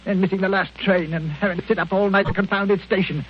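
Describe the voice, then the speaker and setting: A man's voice: sits high, steady tone, fast, lightly acted. An actor playing a miserly, irascible old man with blustering, indignant delivery in 1940s radio style. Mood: grumbling, indignant. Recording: muffled, hissy 1940 radio broadcast.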